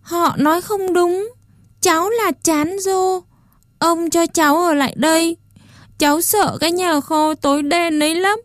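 A woman voicing an ugly little wooden doll boy who pleads and sobs in a timid, soft voice. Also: normal speed, no room sound, clean studio sound, natural voice. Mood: crying. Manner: pleading, sobbing, then shrill and defiant.

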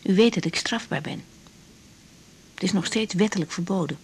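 An actress playing a young, serious, conscientious doctor in a radio drama, speaking carefully and hesitantly. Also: female voice, medium pitch, moderate pace, husky. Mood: troubled, hesitant. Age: young adult. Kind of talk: 1980s Dutch radio drama acting.

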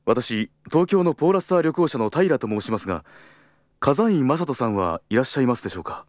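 A man's voice: medium pitch, sing-song, fairly quick, darkish tone, no room sound, studio quality, lightly acted. An actor playing an earnest, principled travel agency employee in a Japanese audio drama, formal and deferential with others and blunt in his own thoughts. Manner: formal and deferential aloud, blunt in inner monologue.

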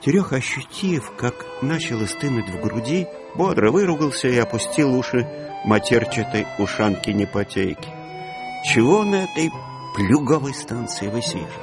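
A man's voice: medium pitch, lively intonation, moderate pace. Male radio-play narrator over music. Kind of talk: dramatised literary narration.

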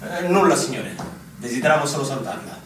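An actor playing an eager, stubborn soldier with an emphatic, theatrical delivery. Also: male voice, mid-range voice, animated, quick pace, natural voice. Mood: eager, respectful. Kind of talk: Italian stage drama acting. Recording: very echoey distant stage recording, noisy.

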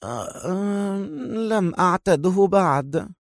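Man acting as sleepy young woman, audio story, languid mumble, raised pitch, lazy, dry sound. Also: lively intonation, takes it slowly, no echo. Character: drowsy, lazy young woman agent waking up.

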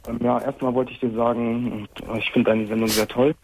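A man's voice: mid-range voice, little pitch movement, moderate pace, a little mellow, husky, poor audio. A man in his twenties calling a radio show, speaking carefully and a bit nervously. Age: 20s. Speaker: caller. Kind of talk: phone-in conversation.

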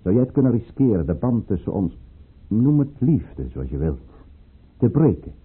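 A man's voice: fairly low pitch, big pitch swings, normal speed, husky, full character voice. An actor playing a calculating, commanding statesman in a radio play, speaking formally and theatrically. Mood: guarded, stern. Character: calculating, commanding statesman with secrets, in a troubled love affair. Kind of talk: Dutch radio play acting. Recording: muffled, phone-like old radio play recording.

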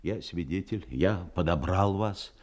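An actor playing a good-natured, blunt ship's skipper in an audio drama, speaking in an emphatic, conversational way. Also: male voice, sits low, unhurried, a little mellow. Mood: indignant. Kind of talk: Russian audio drama acting.

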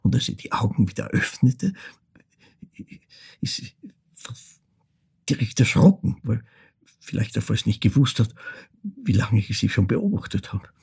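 An older actor with an Austrian accent playing a reflective ageing man who recounts his wartime childhood in a halting, hushed literary monologue. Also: lowish voice, big pitch swings, exaggerated voice. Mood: hushed remembering. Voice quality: gravelly, husky. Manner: halting, deliberate, hushed, broken phrasing. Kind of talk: literary monologue, dramatic storytelling.

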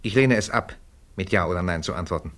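An actor playing a principled, outspoken male witness in a radio play, speaking firmly, deliberately and formally. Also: low voice, a bit bright. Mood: indignant. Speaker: witness at a hearing. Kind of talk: German radio play acting.

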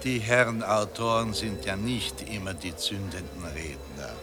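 An actor in a German radio play as a pompous, nostalgic Austrian monarchist gentleman, effusive, halting and declamatory. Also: fairly low pitch, music in the background, natural voice.

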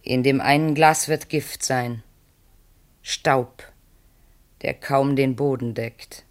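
An actress playing a commanding, fatalistic seductress in a solemn 1960s German radio play, speaking with deliberate, incantatory phrasing. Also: female voice, rumbling low, fairly even intonation. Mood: cold, instructing. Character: commanding, fatalistic seductress demanding her husband's death.

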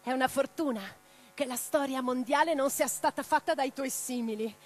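A woman playing an imprisoned, defiant revolutionary in a radio theatre monologue, reading her letters with theatrical, deliberate phrasing. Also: high-pitched. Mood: indignant, impassioned. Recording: clearly noisy track with mains hum.

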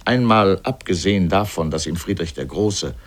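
A man playing an erudite, sardonic narrator of a scripted literary radio essay, speaking deliberately and pointedly. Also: deep voice, lively intonation.

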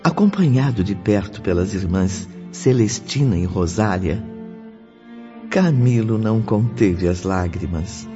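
Slow, solemn Brazilian man narrating over music. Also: fairly low pitch, dark tone. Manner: solemn, literary storytelling. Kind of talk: scripted dramatic radio drama narration. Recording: dull lo-fi, music behind. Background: prominent background music.

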